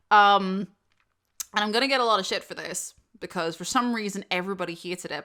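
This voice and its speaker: A woman host answering questions in a casual, opinionated and animated chat, swearing freely. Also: medium pitch. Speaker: host of an audio channel.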